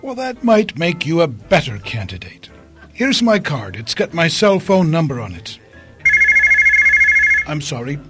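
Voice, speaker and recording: A man playing a wealthy, harried tech tycoon in a radio drama, brisk and self-important. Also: a little high, big pitch swings, soft background music. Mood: brisk, distracted.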